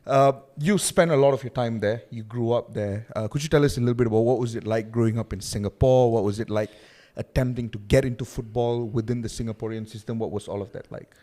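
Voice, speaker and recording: A man speaks with a Malaysian accent at a middling pitch. He hosts a relaxed interview podcast, chatting in an encouraging, conversational way. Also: quick pace. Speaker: podcast host. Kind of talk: casual sit-down interview, mixing Malay and English.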